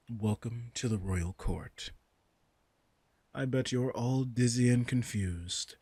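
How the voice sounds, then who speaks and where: A man's voice: on the low side, a little mellow, husky, no echo, exaggerated voice. A man playing a commanding, teasing vampire king in a roleplay audio drama, smug and casually menacing as he speaks straight to the listener.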